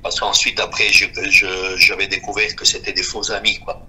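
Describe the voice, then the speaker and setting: A man of fifty-six from Switzerland speaks in a halting, repetitive way as he gives an unscripted personal testimony. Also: on the low side, level tone, bright, forceful tone, rough recording. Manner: halting, repeating himself. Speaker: guest giving a personal testimony.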